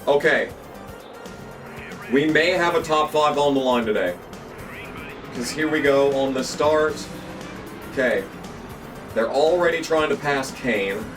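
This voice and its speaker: A young man, hoarse from losing his voice, commentating his racing game run with frequent swearing and excited outbursts. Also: on the high side, far from the mic. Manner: excitable, swears often, jumps into yelps when the race turns. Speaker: gaming channel host. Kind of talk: gameplay commentary.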